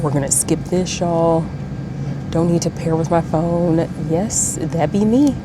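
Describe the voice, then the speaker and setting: A chatty woman talks to her viewers in a low voice that has a slight throat tickle, calling them y'all. She is recording on a handheld phone from her airplane seat. A steady cabin hum sits clearly under her voice. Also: a little slow. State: slight throat tickle, a bit deeper than usual. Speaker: travel vlogger. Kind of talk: casual vlog chat to camera.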